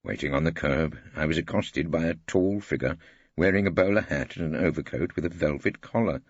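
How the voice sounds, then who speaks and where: A man playing a witty, weary old English barrister who narrates in a wry, pompous, husky voice. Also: a bass voice, fairly quick, dry recording, muffled recording, full character voice. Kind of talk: scripted first-person audiobook narration.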